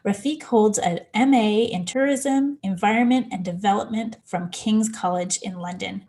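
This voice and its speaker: A woman's voice: medium pitch, a little mellow. A woman hosting a podcast, chatting with a guest in an easygoing, enthusiastic way with fillers. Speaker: podcast host. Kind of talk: conversational podcast interview.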